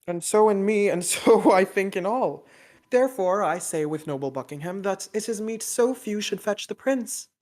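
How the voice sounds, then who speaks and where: A woman's voice: mid-range voice, expressive, normal speed, a little mellow, natural voice. A woman playing an earnest, loyal noble counsellor in a Shakespearean radio play, speaking formal verse. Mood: agreeable.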